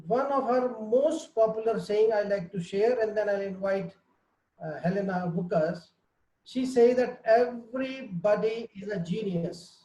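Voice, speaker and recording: A man with a high, unhurried voice introduces a guest on a video call, stressing and repeating his key phrases. His tone is soft and mellow rather than bright. The audio is echoey and muffled, like a roomy webinar connection. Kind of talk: formal spoken introduction.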